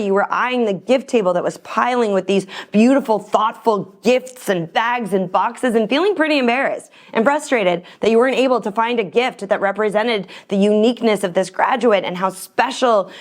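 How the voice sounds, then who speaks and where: A middle-aged woman presenting an instructional talk with anecdotes, speaking emphatically with deliberate pauses on key words. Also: mid-range voice. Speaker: storytelling coach and presenter.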